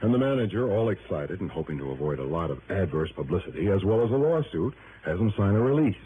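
A man's voice: deep voice, big pitch swings, quick pace. An actor playing an earnest, worried, methodical male insurance official in a vintage radio drama, explaining things with emphasis. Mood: earnest, concerned. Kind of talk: 1950s-60s radio drama acting. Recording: tinny, noisy vintage radio recording.

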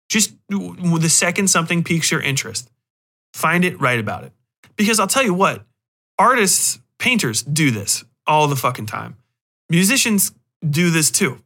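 A man hosting a podcast in rambling, casual talk, animated and emphatic, swearing freely. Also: fairly high pitch. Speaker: podcast host and fiction writer.

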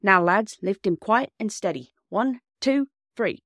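A woman's voice: mid-range voice, animated, a little slow, fairly bright tone, dry recording, clean studio sound, pitched above the performer's own voice. A woman voicing a practical grown farm bailiff with plain-spoken rustic dialect in a storybook reading. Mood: brisk, commanding.

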